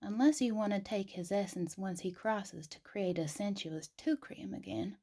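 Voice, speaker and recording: A woman playing a sharp-tongued, authoritative figure in a fantasy audio drama, speaking formally and curtly. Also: mid-range voice, normal speed, lightly acted. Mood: scornful. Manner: curt, formal, cutting.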